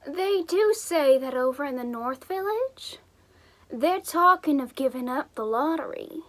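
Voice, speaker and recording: A woman's voice: high voice, lively intonation, measured pace. A woman in an amateur play acting a mild village neighbor who passes on local talk. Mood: mild, gossiping. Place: filmed on a video call.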